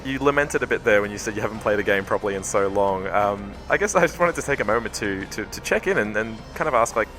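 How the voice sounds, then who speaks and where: A man's voice: lowish voice, animated, quick pace, hardly any pauses, a bit bright, soft background music. A man interviewing a game developer, asking long, meandering questions. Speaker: podcast interviewer. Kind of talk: convention floor interview.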